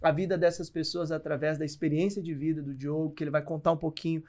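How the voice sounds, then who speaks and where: High-voiced upbeat podcast host man. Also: fast. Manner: upbeat, promotional, lively delivery. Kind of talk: enthusiastic podcast hosting.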